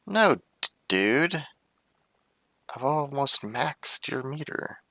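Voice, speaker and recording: A man's voice: medium pitch, expressive, takes it slowly, gravelly, sharp tone, a little hiss or hum. A man streaming a game, reading its text aloud in many voices and chatting playfully about his choices. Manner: reads game characters' lines in varied voices, playful and chatty.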